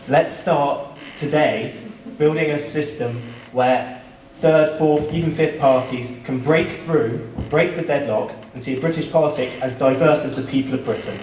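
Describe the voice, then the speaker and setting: Male candidate, measured earnest delivery, distant hall recording with faint hiss. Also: a little high, darkish tone. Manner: earnest, measured, some fillers. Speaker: election candidate. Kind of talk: campaign speech at a debate. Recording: roomy hall, distant mic, faint noise.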